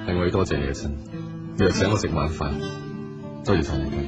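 An actor playing a patient, persistent businessman in a radio drama, speaking calmly and earnestly. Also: very deep voice, fast talker, loud music behind the voice. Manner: calm, earnest and probing.

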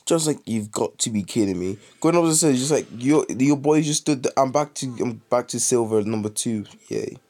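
A young British man with a lively voice commentating his mobile game live, chatty with sudden excited outbursts, saying he is ill. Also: mid-range voice, normal speed, hardly any pauses. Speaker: streamer.

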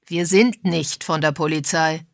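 A woman's voice: sits low, steady tone, measured pace, creaky, no echo. A woman plays a composed man asking direct questions. He raises his voice firmly, as in storybook dialogue, and the sound is dry and close. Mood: firm, calling out. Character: composed man making firm enquiries in a nightclub. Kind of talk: acted audiobook dialogue.

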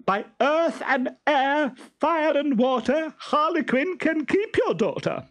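Stentorello, a shrill panicky suitor. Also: male voice, wildly swooping pitch, slow pace, fairly bright tone, dry recording, heavily acted. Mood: panicked shrieking. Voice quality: shrill, wailing. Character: vain cowardly male suitor, shrieking in panic. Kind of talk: storybook reading.

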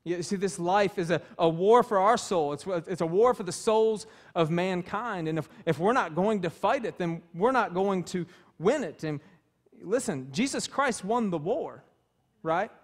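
A man's voice: sits high, expressive, moderate pace. A man in his thirties preaching a sermon as pastor, emphatic and passionate, in a small church. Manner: passionate, emphatic, gesturing freely while preaching. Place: small church stage with wood-panel walls.

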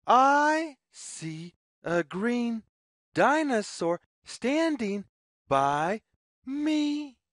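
Slow, sing-song woman reading to children. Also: a little high, sing-song, no room sound, studio quality. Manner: deliberate, sing-song phrasing. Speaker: storyteller reading aloud to children. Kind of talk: read-aloud children's picture book, slow sing-song.

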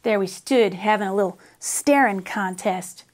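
A middle-aged American woman reading a children's story aloud with expressive, drawling, folksy Western dialect, recorded indoors on a lapel mic. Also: medium pitch, moderate pace.

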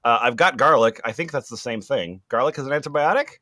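A man's voice: medium pitch, fast talker, bright, forceful tone, dry recording. A man hosting a live podcast in casual banter, with wry teasing and some swearing. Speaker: podcast host.

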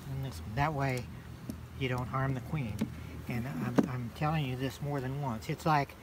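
A man's voice: medium pitch, fairly even intonation, normal speed. An older man giving casual, patient hands-on instruction, explaining and repeating points, recorded outdoors by a nearby handheld camera. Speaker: beekeeping mentor. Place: outdoors in a grassy field. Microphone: handheld camera a short distance away. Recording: very noisy outdoor phone video.

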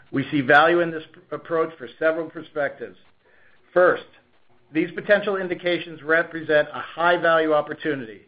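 A man giving a formal scripted business presentation as a company executive on an earnings call. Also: fairly high pitch. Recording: dull, lo-fi conference call audio.

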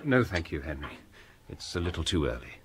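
An actor playing a reserved, courteous scholarly houseguest in a radio drama, speaking formally. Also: male voice, rumbling low, big pitch swings, fairly quick, background noise.

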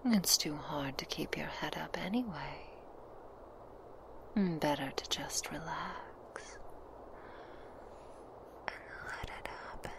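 Female vampire, played by a woman, seductive and possessive; very slow, whispery delivery for close-mic binaural ASMR roleplay. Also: deep voice, full character voice. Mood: coaxing, hungry. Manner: slow, breathy, teasing whisper. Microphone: close binaural microphone.

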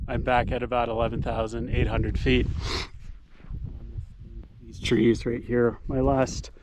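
A man's voice: medium pitch, noisy background. A middle-aged man talking to a camera held at arm's length, giving plain, matter-of-fact commentary on his backcountry ski tour outdoors in the mountains. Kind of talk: casual narration to a handheld camera. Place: outdoors on snowy mountain slopes. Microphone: action camera held at arm's length.

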